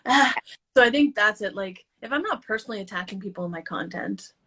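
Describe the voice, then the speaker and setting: A woman's voice: medium pitch, expressive, fairly quick, sharp tone, husky. A woman hosting an interview, asking rambling questions with many "like" fillers. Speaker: podcast host. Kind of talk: casual conversational interview.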